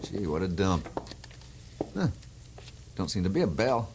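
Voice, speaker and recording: Casting: uneasy, slangy medic's sidekick in golden-age radio horror, wildly varying pitch, very noisy old recording. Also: male voice, unhurried, full character voice. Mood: wry, casual. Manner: slangy, nervous, excitable. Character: uneasy, slangy male ambulance attendant, easily spooked. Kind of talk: old-time radio horror drama acting.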